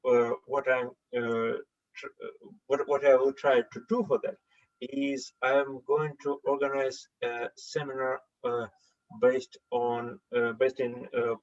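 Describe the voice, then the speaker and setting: Hesitant man. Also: mid-range voice. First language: Russian. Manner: hesitant, many filler sounds. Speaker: seminar participant. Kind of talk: online meeting remarks. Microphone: computer mic on a video call. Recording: dull lo-fi call audio.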